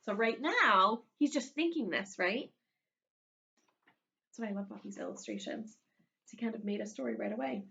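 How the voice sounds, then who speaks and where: A woman's voice: fairly high pitch, lively intonation, normal speed, creaky, long pauses, bright, forceful tone, lo-fi sound. A woman teaching young children in a conversational, encouraging way, as a first-grade teacher talking through a read-aloud. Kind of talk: read-aloud lesson with asides to pupils.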